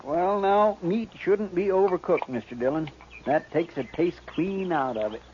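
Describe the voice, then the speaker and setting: A plainspoken American deputy's helper, male and rather high in voice. He sounds simple-hearted and deferential. It is 1950s radio western acting, dull in sound with faint noise. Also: a little slow. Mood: cheerful, folksy.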